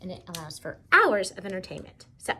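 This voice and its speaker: A woman's voice: on the low side, big pitch swings, brisk, sharp tone, slight background noise. A woman giving a step-by-step how-to demonstration for children, talking simply like a teacher and often checking in with little questions. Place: indoors at home.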